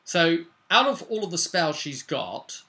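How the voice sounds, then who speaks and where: A man working as a game master, giving a casual, unscripted recap of a tabletop role-play session in a chatty, animated storytelling manner. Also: on the high side, unhurried.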